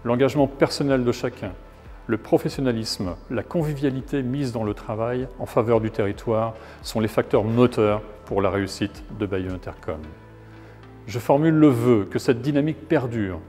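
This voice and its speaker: A man from France, a local elected official, reading a scripted New Year address in a formal, measured way. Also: medium pitch, warm tone. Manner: formal, measured delivery of a prepared speech. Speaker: local elected official, head of an intercommunal council. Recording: long hall reverb, music underneath. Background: background music.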